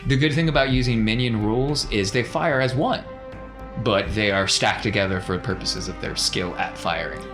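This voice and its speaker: A man's voice: lowish voice, normal speed, over music. A man working as game master, narrating and refereeing a tabletop game in a casual, encouraging way.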